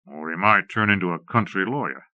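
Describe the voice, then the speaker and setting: A man's voice: sits low, brisk, dry recording, highs cut off, natural voice. A man voicing a cocky captured outlaw leader in a western audiobook, with a wry, folksy manner. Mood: sardonic. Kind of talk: western audiobook character acting.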